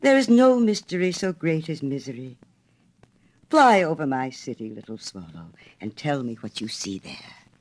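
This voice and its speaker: Woman plays storybook prince statue: gentle, sorrowful, slow and solemn, with swelling intonation. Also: low voice, big pitch swings, rough recording. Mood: tender, sorrowful.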